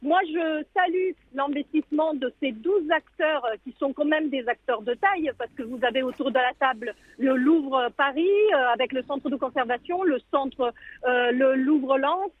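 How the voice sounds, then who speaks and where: A woman's voice: high-pitched, moderate pace. Woman on a tinny phone line. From Italy. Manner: hesitant, many fillers and restarts. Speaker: museum director, guest. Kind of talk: live radio interview answers.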